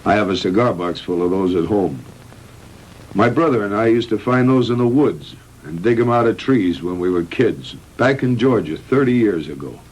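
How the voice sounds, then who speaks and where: A man playing a calm, frank middle-aged hospital doctor in a measured, matter-of-fact 1960s B-movie style. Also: fairly low pitch. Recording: noisy old 1960s film soundtrack.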